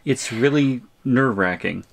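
A man's voice: medium pitch, moderate pace, vocal fry. A man playing a bewildered, exasperated shrunken student in an audio roleplay, stammering and incredulous. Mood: exasperated, pleading. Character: bewildered, exasperated shrunken male student, three inches tall.

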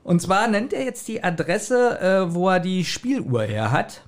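A man's voice: high voice, lively intonation, measured pace. A male podcast host chatting casually and jokingly as he retells and reviews a story. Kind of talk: casual chat, retelling and reviewing an audio drama.

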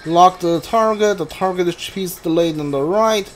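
Male gameplay streamer, slightly high voice, casual and hesitant, reading prompts over game music. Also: normal speed. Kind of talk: casual playthrough commentary, reading on-screen text aloud.

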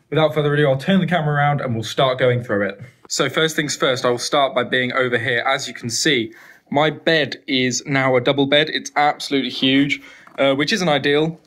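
A man's voice: medium pitch, fairly bright tone. A young man in his twenties, a fitness vlogger, chatting upbeat through a home gym tour, filmed on a handheld phone in a small bedroom. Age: 20s. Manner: upbeat, chatty, many fillers. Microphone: handheld phone camera.

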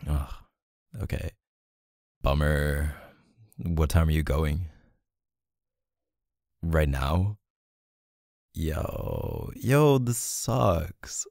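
A man's voice: low-pitched, creaky, dark tone, dry recording, studio quality, full character voice. A man playing a possessive, sulky, protective young boyfriend in an intimate ASMR roleplay, murmuring hesitantly. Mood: reluctant, disappointed. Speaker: roleplay voice actor.

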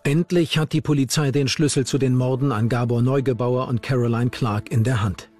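A man's voice: mid-range voice, fairly even intonation, brisk, darkish tone, soft background music. A man reading scripted narration for a true-crime documentary.

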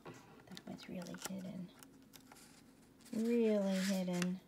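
A woman reading a picture book aloud to young children, gently and often hushed, asking the listener questions as she goes. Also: mid-range voice, steady tone, takes it slowly, noisy background. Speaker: bedtime story reader.

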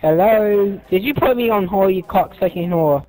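A young man with a fairly high voice makes prank phone calls. He talks casually, with crude language and a taunting edge, over music in the background. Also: moderate pace, low-quality recording. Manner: crude, foul-mouthed, taunting. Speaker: prank caller.

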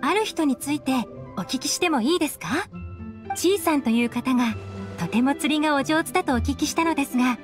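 A woman playing a composed, shrewd young female operative in a video game, unhurried and slightly teasing. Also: high-pitched, natural voice. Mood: polite inquiry. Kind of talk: Japanese video game voice acting. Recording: game music behind the voice.

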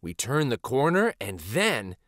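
A middle-aged man reads a picture book aloud as host, in an expressive, dramatic manner. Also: medium pitch, measured pace, no echo, clean studio sound. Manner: animated, dramatic. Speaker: children's storybook reader and show host.

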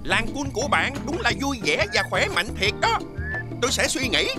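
A woman plays a cute little cartoon cat, eager and full of itself. The voice is high and very lively, over loud music. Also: normal speed. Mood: pleased, boastful. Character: small boastful, eager, cute talking cat. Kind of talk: animated cartoon dubbing.

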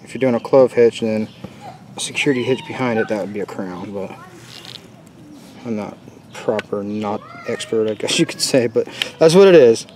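A relaxed man in his thirties walks through a hands-on project in casual, easygoing talk. He is outdoors in a leafy forest, with faint open-air noise around him. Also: on the low side, moderate pace. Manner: relaxed, chatty, laughs easily. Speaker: outdoors channel host. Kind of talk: casual how-to demonstration.

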